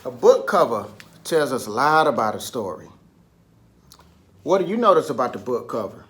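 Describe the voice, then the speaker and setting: A man's voice: mid-range voice, very animated, moderate pace, fairly bright tone. A man leading a picture book read-aloud lesson for children, instructing, asking questions and prompting listeners along.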